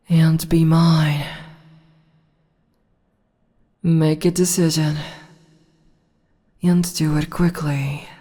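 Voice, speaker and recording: A woman voicing a commanding, teasing demon empress in an ASMR audio roleplay, speaking in slow, low whispers. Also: warm tone, in a large hall, full character voice. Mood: menacing whisper. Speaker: voice actress.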